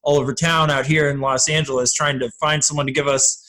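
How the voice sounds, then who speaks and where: A man's voice: on the high side, flat delivery, fairly quick, bright, forceful tone. An American man talking casually as a podcast guest who creates audio drama. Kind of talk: casual chat.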